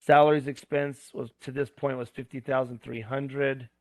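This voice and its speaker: A gravelly, hoarse man talks through accounting homework one entry at a time, in plain words with small asides. He sounds close and dry, with no room around his voice. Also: medium pitch, little pitch movement, moderate pace, darkish tone, no echo. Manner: plain step-by-step talk with asides and fillers. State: going hoarse. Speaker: instructor. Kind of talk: screen-recorded homework walkthrough.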